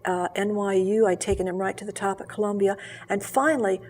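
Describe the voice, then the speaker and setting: An older woman as an interview guest, telling her life story in an earnest, measured way, with fillers and restarts. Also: mid-range voice, flat delivery, darkish tone. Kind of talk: reflective personal storytelling. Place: local TV studio.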